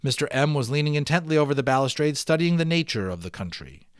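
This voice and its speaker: A man reading a children's story aloud dramatically, with offhand, chatty asides in an expressive manner. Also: on the high side, fast talker, no echo, clean studio sound. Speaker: amateur audiobook reader.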